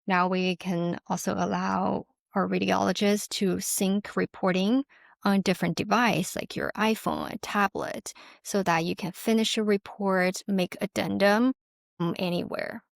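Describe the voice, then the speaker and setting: A woman's voice: medium pitch, fairly even intonation, measured pace, vocal fry, dry recording, studio quality. A woman speaking as an interview guest, explaining a product conversationally in an agreeable, affirming way. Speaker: company representative, interview guest.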